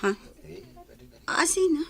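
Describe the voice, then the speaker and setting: A woman's voice: slow pace, close to an everyday voice. A woman with an Ilocano accent playing a worried, reproachful office-worker wife in a radio drama, earnest and pleading. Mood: startled, indignant. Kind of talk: Philippine radio drama acting.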